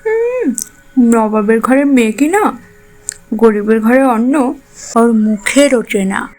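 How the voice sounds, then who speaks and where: A woman's voice: a little high, expressive, slow pace, a little mellow, faint music behind. A woman playing a spiteful middle-aged mother-in-law in an audio drama, sneering and mocking. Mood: sarcastic. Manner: scornful, mocking. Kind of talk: audio story acting.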